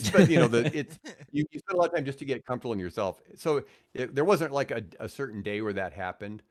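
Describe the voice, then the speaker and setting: An older man with an American accent, telling rambling, animated anecdotes casually as a radio veteran on a podcast. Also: mid-range voice, brisk. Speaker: radio host and guest.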